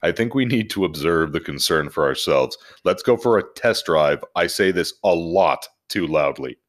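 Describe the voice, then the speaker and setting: Very low-voiced man hosting a podcast. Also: expressive, normal speed. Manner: profane, jokey. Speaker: podcast host. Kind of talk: casual podcast chat and animated story reading.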